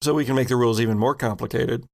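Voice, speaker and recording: A man narrating a tabletop game as game master in a casual, halting way, thinking aloud as he goes. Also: mid-range voice, gravelly.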